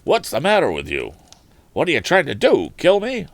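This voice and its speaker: An indignant, ragged storybook tramp voiced by a man reading aloud grumbles in rough, ungrammatical slang. The delivery is animated and complaining, with wide swings in pitch and a dry sound. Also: moderate pace, no room sound, exaggerated voice. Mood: angry, indignant. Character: angry ragged male tramp, gruff and complaining.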